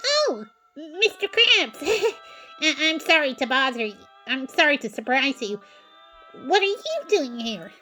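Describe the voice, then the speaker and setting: A woman voicing an excitable, eager young male sponge fry cook in a cartoon audio drama, with a stammering delivery. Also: high voice, a little slow, faint music behind, pitched above the performer's own voice, heavily acted, low-quality recording. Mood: flustered, apologetic.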